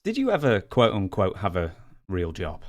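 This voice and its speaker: Low voiced guy hosting a podcast, kinda wry and wordy, keeps cutting in on himself. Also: wildly swooping pitch, normal speed. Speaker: podcast host. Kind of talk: casual podcast hosting, reading news aloud.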